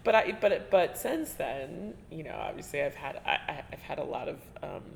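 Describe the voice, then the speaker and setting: A woman interviewing a colleague in a relaxed, chatty way, often trailing off and restarting and laughing as she talks. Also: sits low, normal speed, vocal fry, a little hiss or hum. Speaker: interviewer, teaching artist. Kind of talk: conversational interview. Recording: reverberant room with faint ambience.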